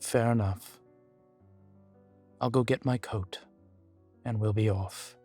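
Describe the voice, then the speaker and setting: A man playing a guarded, world-weary vampire informant in an audio roleplay, speaking in formal, measured phrases, proud yet fond. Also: lowish voice, steady tone, a little mellow, husky. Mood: relenting, fond. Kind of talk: scripted audio roleplay to the listener. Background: background music.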